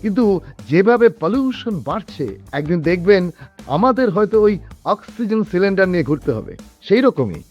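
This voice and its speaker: A self-assured, glib businessman in a radio play. His voice is fairly high and very lively, sprinkled with English interjections. The audio is a little dull, with music behind it. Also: moderate pace. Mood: persuasive, lecturing. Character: slick, talkative middle-aged businessman and salesman, self-assured. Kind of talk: radio drama acting.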